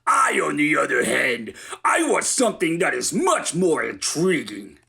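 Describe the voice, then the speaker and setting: Villain lord, male: gravelly, highish, imperious audio-story master. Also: big pitch swings, measured pace, sharp tone, full character voice. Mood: scheming. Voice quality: raspy, gravelly. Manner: imperious, theatrical. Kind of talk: audio story character acting.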